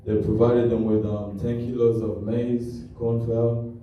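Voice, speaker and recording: A young man born in Kenya and raised in Australia tells his family's refugee story as a halting, plainspoken presentation. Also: medium pitch, flat delivery, dull audio, slight background noise. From born in Kenya to South Sudanese parents, raised in Australia. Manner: halting, restarts often, plainspoken. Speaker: student presenter. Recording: very echoey hall from far off.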